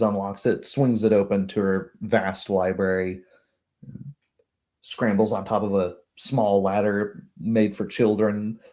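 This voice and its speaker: A man's voice: fairly low pitch, darkish tone. A man narrating as game master of a tabletop roleplaying game, improvising as he goes.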